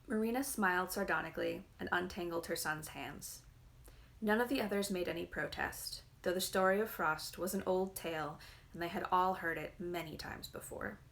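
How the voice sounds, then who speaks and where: Female reader, slightly low voice, measured and expressive narration of a folktale-like novel. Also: gravelly. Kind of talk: literary storybook reading aloud.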